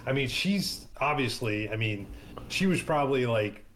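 A man's voice: medium pitch, lively intonation, normal speed, a bit bright, a little hiss or hum. A man from the US East Coast chatting casually, rambling with lots of fillers and restarts. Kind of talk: casual chat.

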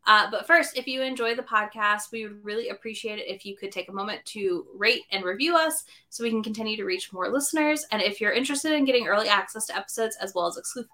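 A woman's voice: medium pitch, quick pace, few pauses, pushed and bright. A woman hosting a podcast, chatting casually about a book and thinking aloud as she goes. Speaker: podcast host.

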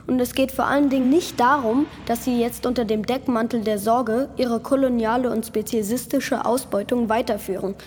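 A woman acts a resolute eco-activist in an audio drama. Her voice sits fairly high and she declaims earnestly, like someone ready to sacrifice herself. There is faint noise in the background. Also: fairly even intonation, moderate pace, hardly any pauses, darkish tone, close to an everyday voice. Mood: solemn, resolute. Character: resolute female eco-activist microbe inside a cow, self-sacrificing rebel. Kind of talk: scripted radio play acting.

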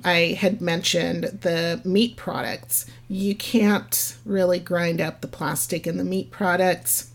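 Scratchy-voiced woman podcaster, lowish. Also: creaky. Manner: rambling, chatty, laughs at herself. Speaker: podcast host. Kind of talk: casual solo podcast monologue.

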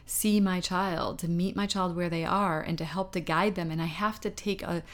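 A middle-aged woman co-hosts a parenting talk show in a relaxed, conversational way. Her voice sits a little low for a woman. She speaks earnestly, often breaks off and restarts mid-sentence, and laughs at herself now and then. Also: moderate pace. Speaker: parenting podcast co-host. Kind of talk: casual conversation.

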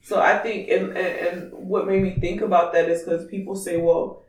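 A man with a raspy voice chatting candidly and emphatically as a podcast co-host. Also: sits high, little pitch movement, normal speed. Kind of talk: casual opinionated podcast chat. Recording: distant mic in echoey room.

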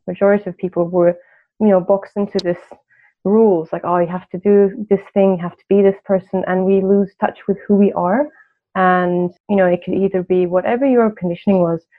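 A woman from Turkey, a coach speaking as a podcast guest in a reflective way, with many "you know" fillers. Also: medium pitch. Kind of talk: podcast interview. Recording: tinny phone-line audio.

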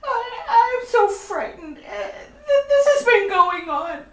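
A woman's voice: very high voice, big pitch swings, slow, full character voice. A woman playing a frightened young bride in a 1940s-style radio play, speaking in a tearful, trembling way. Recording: echoey room, distant mic.

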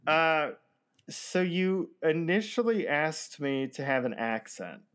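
Man, voice actor guest, casual chat, self-deprecating, laughs often, halting restarts. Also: fairly high pitch, very animated, a bit bright, clean tone. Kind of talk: casual conversation.

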